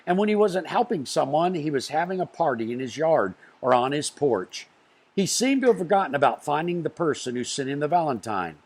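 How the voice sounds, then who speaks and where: A man reading a picture book aloud to children, expressively, with deliberate phrasing and stressed story beats. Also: on the high side.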